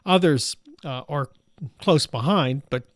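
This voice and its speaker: A middle-aged American man hosting a political news commentary show, his voice raspy from allergies, talking in a steady, measured way with frequent "uh" fillers. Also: medium pitch, dry recording, clean studio sound. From United States. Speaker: show host.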